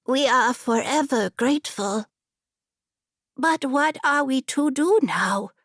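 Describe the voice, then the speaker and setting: Young animal cub for a storybook fable played by a woman: high, earnest, pleading, slow formal phrasing. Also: animated, a bit bright, dry recording, clean studio sound, exaggerated voice. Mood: anxious pleading. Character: grateful, anxious young wolf cub in a fable.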